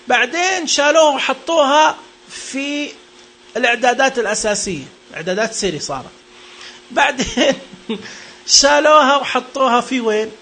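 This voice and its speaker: Quick, high-voiced man. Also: sharp tone, slight background noise. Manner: chatty and joking, repeats what the screen reader says. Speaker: tech reviewer demonstrating phone accessibility settings. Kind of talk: casual spoken walkthrough.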